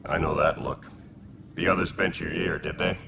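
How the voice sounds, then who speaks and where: A man playing a wry, easygoing alien militia officer in a video game, with a very deep, gravelly voice and dry manner. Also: steady tone, normal speed. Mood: wry, welcoming. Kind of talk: video game dialogue acting. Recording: loud background ambience noise.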